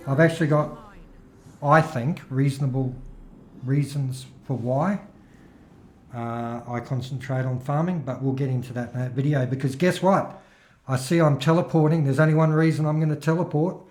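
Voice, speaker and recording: A man's voice: mid-range voice, fairly even intonation, moderate pace, darkish tone, reverberant. A middle-aged man gives rambling, casual commentary over his gameplay, his throat still a little worn. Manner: rambling, chatty, with filler-heavy restarts. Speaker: gaming video host.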